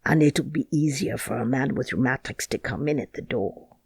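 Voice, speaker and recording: A woman voicing a crabbed, stubborn old gardener with a Yorkshire dialect, speaking dryly and slowly. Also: extremely low pitch, vocal fry, husky, no echo, pitched below the performer's own voice, heavily acted. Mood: gruff. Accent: Yorkshire dialect, put on. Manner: dry, grumbling, slow. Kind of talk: audiobook character reading.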